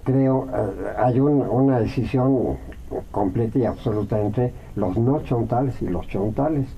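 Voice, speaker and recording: A Mexican man, a radio guest, answering at length in a reflective way, with many pauses and fillers. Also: medium pitch, lo-fi sound, a little hiss or hum.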